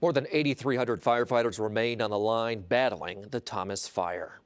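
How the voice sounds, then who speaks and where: A man's voice: mid-range voice, normal speed, heavy background noise. American male TV news anchor. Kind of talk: scripted news narration.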